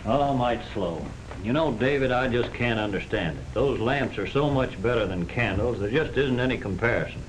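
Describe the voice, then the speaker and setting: A man's voice: medium pitch, expressive, moderate pace, few pauses, slight background noise, natural voice, low-quality recording. An actor in a 1940s film playing a frustrated small-town male lamp seller, plainspoken and exasperated.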